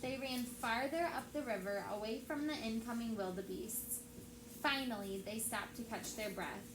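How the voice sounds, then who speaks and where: A woman with a fairly high voice reads aloud like a teacher telling a story to children. Her delivery is expressive. The room echoes noticeably, with clear noise behind her. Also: normal speed. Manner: expressive, acts out dialogue.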